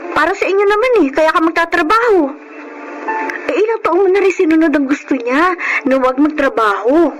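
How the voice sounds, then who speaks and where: A high, animated female voice comes with faint noise behind it. a caring, forthright friend in a radio drama, expressive and keen to persuade. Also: a little fast. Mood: coaxing, exasperated.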